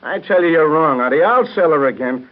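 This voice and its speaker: An actor playing a slangy, plain-spoken American show-business agent with a reassuring streak, in a 1930s radio soap. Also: male voice, fairly high pitch, expressive, brisk, lightly acted. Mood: reassuring, insistent. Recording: muffled narrow-band 1930s radio broadcast.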